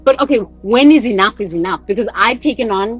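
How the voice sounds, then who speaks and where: A woman's voice: mid-range voice, expressive, fast, faint music behind. A woman hosting a casual conversation, speaking emphatically and repeating phrases for weight. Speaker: podcast host. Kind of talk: casual chat.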